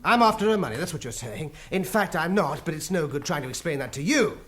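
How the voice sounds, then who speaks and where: A man's voice: on the high side, expressive, quick pace, a bit bright. An actor playing a blunt, defiant and cynical Englishman in a British radio drama. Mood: angry, scornful. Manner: blunt, argumentative. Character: defiant, cynical male TV guest, blunt and combative.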